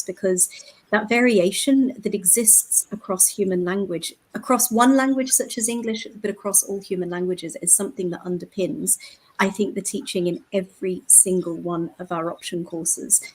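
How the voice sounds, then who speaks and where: Australian-Scottish woman lecturer, breathy. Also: lowish voice, steady tone, fairly quick, darkish tone, rough recording. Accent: Australian with Scottish influence. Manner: articulate, explanatory. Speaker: linguistics lecturer. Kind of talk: panel talk.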